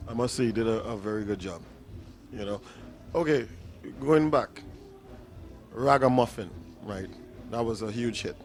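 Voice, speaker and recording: A man's voice: mid-range voice, moderate pace, long pauses, slight background noise. A man hosting an interview show, asking enthusiastic, rambling questions full of fillers. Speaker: interview host.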